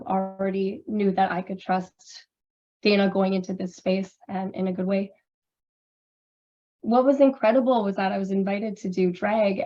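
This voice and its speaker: A hoarse woman who has lost her voice, talking candidly in a rambling way full of fillers. Her pitch stays flat and even. The audio is muffled and lo-fi, as on a video call. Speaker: panelist, drag performer and researcher. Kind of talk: casual panel discussion.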